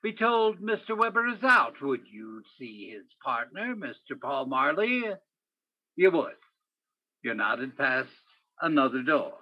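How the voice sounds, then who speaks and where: An actor playing a terse police detective in an old-time radio crime drama, narrating and questioning in clipped, theatrical phrases. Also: male voice, medium pitch, big pitch swings, a little slow, muffled recording. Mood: wry, dramatic. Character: terse male police detective narrating his own case.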